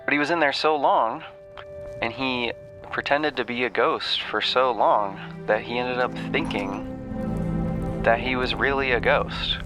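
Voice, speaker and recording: A man's voice: medium pitch, measured pace, rough recording. A man giving a casual game recap in an offhand, rambling way, swearing freely. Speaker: host recapping a video game quest. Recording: music behind the voice.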